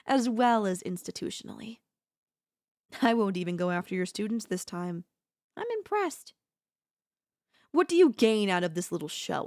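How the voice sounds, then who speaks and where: A woman's voice: mid-range voice, vocal fry. A woman playing an obsessive, scheming yandere in a theatrical, haughty manner with formal phrasing, in an ASMR audio roleplay.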